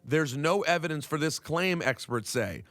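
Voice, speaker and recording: A man's voice: a little high, expressive, normal speed, a bit bright, no room sound. A man co-hosting a radio talk show, reading out news and giving his opinions in casual chat. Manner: opinionated, reads news aloud with commentary. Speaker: radio co-host.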